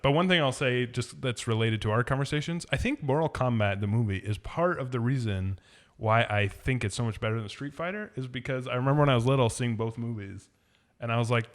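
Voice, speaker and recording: A man's voice: mid-range voice, animated, quick pace. A man chatting casually and giving opinions as a podcast co-host, informal and prone to swearing. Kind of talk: casual movie review chat.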